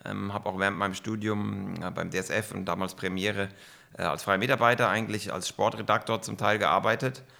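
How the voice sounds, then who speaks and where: A man's voice: lowish voice, steady tone, a little fast, gravelly, sharp tone. A middle-aged man from Germany, a football club executive, answering podcast questions with enthusiasm and frequent fillers.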